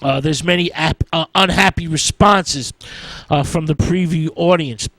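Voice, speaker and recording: A man with a somewhat high voice presents film history in an informal lecture style. He moves forward haltingly, putting an "uh" before most phrases. The sound is dry, with little room in it. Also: a bit bright, dry recording. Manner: halting, hesitant delivery with an "uh" before nearly every phrase.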